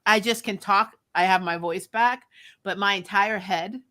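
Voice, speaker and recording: A woman's voice: mid-range voice, measured pace, a bit bright. A woman chatting casually and sardonically as co-host of a TV review, often giggly and salty-tongued. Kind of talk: casual chat, fan review banter.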